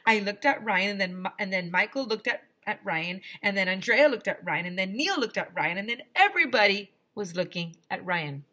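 A woman in her thirties, a teacher reading a children's book aloud in a deliberate, dramatic way on a headset mic. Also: mid-range voice, animated, bright, forceful tone. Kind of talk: expressive children's storybook read-aloud. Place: small room decorated as a classroom.

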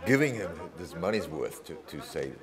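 A man giving live sports commentary with casual banter, in a halting, repetitive way. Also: deep voice, big pitch swings, a loud crowd. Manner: hesitant, halting, repeats himself. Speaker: fight commentator. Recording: loud music behind. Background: loud music.

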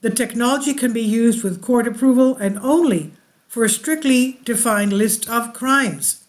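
A woman hosting her own channel, reading a translated news article aloud slowly and deliberately, with asides to her viewers. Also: on the high side, animated.

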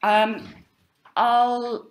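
A woman lecturer giving an unhurried talk, pausing often with ums and uhs. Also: on the high side, fairly even intonation, echoey room. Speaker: university lecturer. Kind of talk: lecture on medieval drama.

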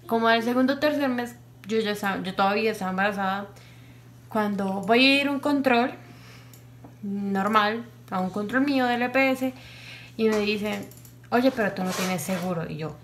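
A woman's voice: fairly high pitch, a little hiss or hum. A young woman, animatedly telling a story to camera at home and acting out the quoted lines. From Colombia. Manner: animated, acts out quotes, gestures a lot. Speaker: vlogger. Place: small home room, indoors.

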